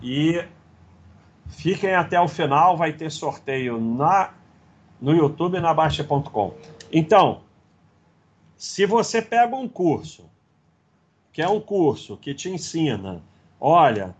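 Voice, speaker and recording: Husky blunt Brazilian man lecturing. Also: fairly high pitch, big pitch swings, slow, pauses often. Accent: Brazilian Portuguese. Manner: blunt, sarcastic, opinionated lecturing. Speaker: host of a live investing stream. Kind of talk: casual livestream talk, reading slides.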